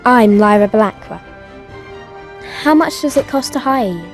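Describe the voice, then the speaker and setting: A woman's voice: fairly high pitch, expressive, a little slow, faint music behind. A woman playing a bold, eager young girl adventurer in a video game, chatty and earnest. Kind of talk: video game cutscene acting.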